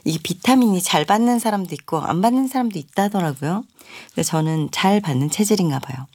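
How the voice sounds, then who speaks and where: A woman's voice: on the low side, expressive, a little fast, darkish tone, dry recording, studio quality. A young woman hosting a radio music show, chatting casually and reading listener messages with friendly asides. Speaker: radio host.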